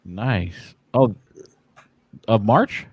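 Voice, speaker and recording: A man's voice: lowish voice, drawn-out words, slightly rough, dry recording. A man in his forties, a podcast guest talking casually in a laid-back, slangy, profane way with lots of fillers. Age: 40s. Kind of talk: casual chat.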